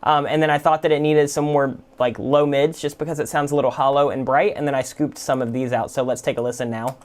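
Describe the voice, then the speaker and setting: A man hosting a music production tutorial, explaining software casually and informally without a script. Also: mid-range voice, fairly even intonation, fast talker. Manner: informal, explanatory, full of "like" and "kind of".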